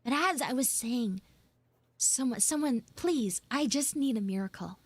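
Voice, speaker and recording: A woman's voice: fairly high pitch, expressive, unhurried, husky, no echo. A young woman playing a flustered, pleading tsundere schoolgirl in a live roleplay, rambling and stammering dramatically. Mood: whiny, desperate. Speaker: live audio roleplay streamer. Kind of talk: improvised live character roleplay.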